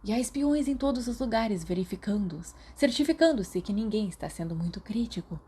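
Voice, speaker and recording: A woman's voice: medium pitch, expressive, a little fast, mellow, a little hiss or hum, voice pushed up, lightly acted. A woman with a Brazilian Portuguese accent voicing a nervous, wide-eyed teenage boy wizard who whispers anxiously.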